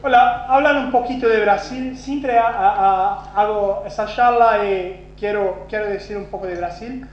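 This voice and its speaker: A Brazilian man whose first language is Portuguese, giving a conference talk with a Brazilian accent, self-deprecating and halting, with many restarts. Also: sits high. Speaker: conference speaker. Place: conference hall. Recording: roomy, dull lo-fi sound with faint hum.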